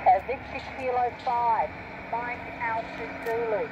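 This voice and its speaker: A woman's voice: fairly high pitch, very animated, a little slow, muffled recording. Aussie lady on ham radio, shortwave sound, super noisy. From Australia. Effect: shortwave single-sideband radio. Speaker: amateur radio operator. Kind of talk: radio contact exchange.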